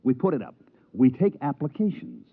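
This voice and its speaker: A brash con man hustling miners on Mars, with a husky, lively voice that swings up and down as he pitches. It sounds like an old radio play on a dull, muffled vintage recording. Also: moderate pace. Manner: slick, wheeling-dealing salesman patter. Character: fast-talking male swindler promoter on Mars. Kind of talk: 1950s radio drama acting and narration.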